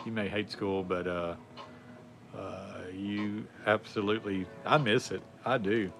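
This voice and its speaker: A man's voice: lowish voice, fairly even intonation, measured pace, creaky, noisy background. Laid-back man in his forties. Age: 40s. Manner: rambling, laid-back, drawling with many fillers. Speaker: livestream host. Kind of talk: casual chat.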